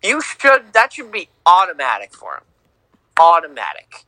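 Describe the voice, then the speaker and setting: A young man co-hosting a casual sports podcast, teasing and lively, on a home mic. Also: a little high, moderate pace, no room sound, low-quality recording. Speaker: podcast co-host.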